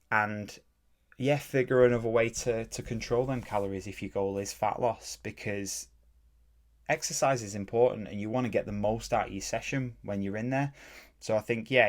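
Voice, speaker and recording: Low-voiced fitness coach rambling. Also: male voice. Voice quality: plain, even voice. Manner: rambling, self-correcting, drops into mild ranting. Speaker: fitness coach and podcast host. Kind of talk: casual solo podcast talk.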